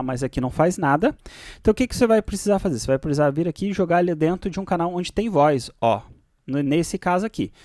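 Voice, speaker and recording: A Brazilian man with a notably high voice teaches in an informal tutorial style. His intonation moves up and down in a lively way, and he often adds small tag questions to check understanding. The recording is very dry, with no room sound. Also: no echo. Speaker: music software instructor.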